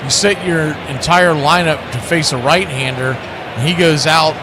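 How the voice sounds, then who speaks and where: Higher-voiced man calling baseball over noisy ambience. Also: a little slow. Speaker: baseball play-by-play announcer. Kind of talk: live radio sports commentary with casual asides.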